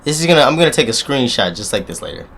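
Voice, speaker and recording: A man with a deep voice doing animated, joking let's play commentary and talking straight to his audience. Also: racing, creaky, slight background noise. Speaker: gaming YouTuber.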